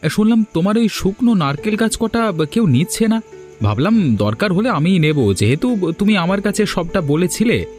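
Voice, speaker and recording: Man voicing a lively, determined village street vendor. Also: sits high, normal speed, faint music behind. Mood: eager. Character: hard-up, determined, outspoken village snack seller. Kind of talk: Bengali moral-story cartoon dubbing.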